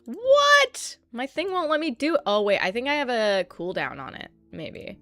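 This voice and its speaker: A woman streamer talks casually to her chat with a voice that still sounds sleepy. Her speech is loose and chatty, and she swears freely and laughs often. Soft music plays faintly underneath. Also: a little high, big pitch swings, a little slow, dry recording. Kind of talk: casual livestream chat while setting up a 3D model.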